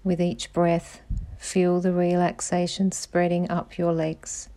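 A woman guiding a meditation in a calm, soothing voice, giving measured instructions with pauses. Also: fairly low pitch. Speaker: meditation guide, podcast host. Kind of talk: slow guided meditation narration.

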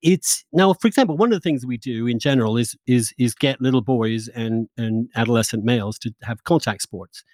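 A man's voice: mid-range voice, a little fast, hardly any pauses, a little mellow, dry recording. A man hosting a podcast, talking in a discursive, informal, conversational way with the odd mild swear. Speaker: podcast host. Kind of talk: conversational intellectual interview.

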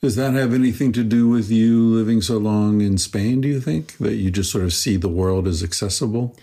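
A man's voice: fairly low pitch, moderate pace, dark tone. A man interviewing in a casual, reflective way, searching for his words as he goes.

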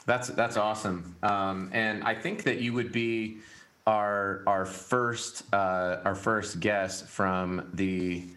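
A male host leads a casual interview, friendly and halting, full of fillers. His voice sits on the low side for a man. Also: fairly even intonation, a bit bright.

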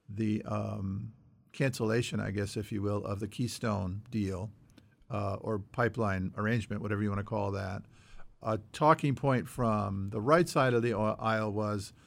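A man co-hosting a podcast, talking through news points in a measured, explanatory way with frequent qualifiers and a gravelly edge. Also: lowish voice. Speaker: podcast co-host. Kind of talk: conversational news discussion working through numbered points.

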